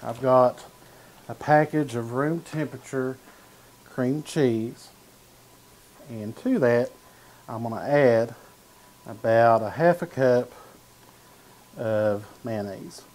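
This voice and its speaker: Man, 30s, cooking show host; slow, relaxed demo patter, mid pitch, lapel mic in a studio kitchen. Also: rough recording. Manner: easygoing, talks while stirring and slicing, frequent "uh" and "gonna". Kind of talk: cooking demonstration. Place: home-style studio kitchen, indoors.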